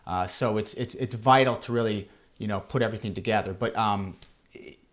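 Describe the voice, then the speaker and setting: A man answering as a security trainer in an interview, explaining things haltingly and repeating himself. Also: fairly low pitch.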